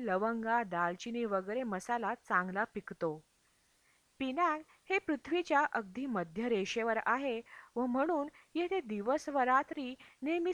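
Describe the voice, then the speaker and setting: A woman's voice: fairly high pitch, fairly bright tone, no echo. A woman reading a travel memoir as scripted audiobook narration. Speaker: audiobook reader.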